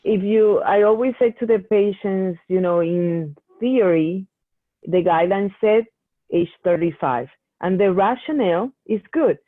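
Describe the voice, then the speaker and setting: A woman's voice: mid-range voice, measured pace, dry recording. A woman medical geneticist answering patient questions in a Q&A session, explaining at length with many restarts. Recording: tinny phone-like call audio.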